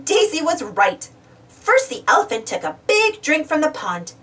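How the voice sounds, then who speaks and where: A woman reading a children's storybook aloud in an animated narration style. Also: sits high, normal speed. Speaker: storybook reader. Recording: slightly distant mic, music bed. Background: music.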